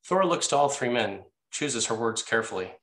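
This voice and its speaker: A man's voice: medium pitch, lively intonation, a little fast, fairly bright tone. A man narrating the scene directions of a screenplay at a table read. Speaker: narrator reading stage directions.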